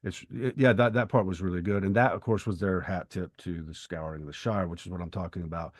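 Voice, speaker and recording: Very low-voiced, earnest man in conversation. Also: fairly even intonation, fast, no room sound, studio quality. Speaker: podcast co-host. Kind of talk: reflective literary discussion and prayer.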